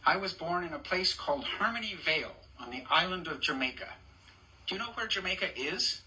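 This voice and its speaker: Bright-voiced older man reading to kids. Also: high-pitched, animated, fairly quick, low-quality recording. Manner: warm, chatty, stops to ask children questions and point at pictures. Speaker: storybook reader. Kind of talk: reading a picture book aloud to children.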